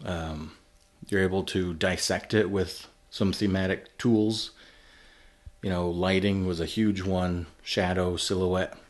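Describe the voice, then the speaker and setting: A man hosting a movie podcast, giving his views in a relaxed, thinking-aloud way. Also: low-pitched, slow. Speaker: podcast host. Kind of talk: casual film discussion.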